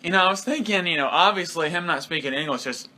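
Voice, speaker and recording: A man's voice: a little high, fast, sharp tone. A man co-hosting a casual wrestling review podcast, talking in a laid-back, blunt way with lots of fillers. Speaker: podcast co-host.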